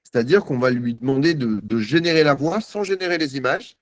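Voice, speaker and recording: Guy on a video call demoing software, chatty and joking about himself, dry close sound. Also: medium pitch, brisk, no room sound. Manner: chatty, self-mocking, thinks aloud with many fillers. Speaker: guest presenter. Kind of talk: live demo on a video call.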